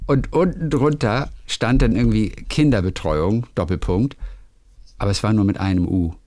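Low-voiced man, chatty and dry. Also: normal speed, darkish tone, no room sound. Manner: chatty storyteller, playful, hums melodies. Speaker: radio host. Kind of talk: casual phone chat between friends.

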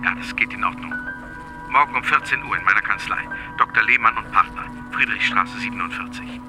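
A man's voice: fairly low pitch, brisk, over music, close to an everyday voice, low-quality recording. An actor playing a male lawyer in a radio drama, formal and urgent, with a slightly hesitant manner. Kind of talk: radio drama phone dialogue.

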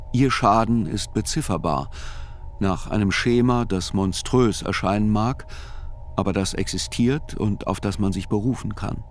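An actor playing a writer who narrates a trial in a radio play, in a measured, sober, observant way. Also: male voice, on the low side, a little hiss or hum.

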